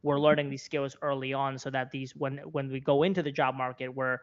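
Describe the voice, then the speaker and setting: A young American man talks through his opinions as an interview guest. His voice is smooth and clear with a fairly flat melody, and he circles back to rephrase. The audio is slightly dull. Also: mid-range voice, fairly quick. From Florida, United States. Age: 20s. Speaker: interview guest, content creator and journalist. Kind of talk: conversational interview commentary.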